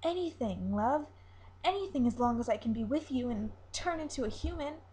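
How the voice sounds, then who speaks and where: A woman's voice: high-pitched, animated, moderate pace, mellow, voice pushed up, low-quality recording. A woman voicing a naive young mermaid in a story, with a breathless, emotional delivery. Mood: gushing, eager. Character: naive young female mermaid, lovestruck, pleading and frantic.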